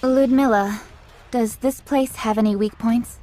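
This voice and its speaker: An actress playing a serious, composed young woman squad leader in a video game, speaking in an earnest, measured way. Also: a little high, steady tone, dark tone, natural voice. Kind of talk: English video game dub acting. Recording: music behind the voice.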